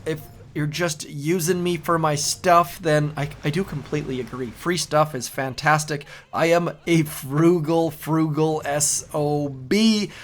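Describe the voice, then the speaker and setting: A man's voice: fairly high pitch, a little slow, few pauses. A middle-aged man with a youthful-sounding voice, hosting casual gameplay commentary in a chatty, rambling way and repeating his words. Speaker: game channel host.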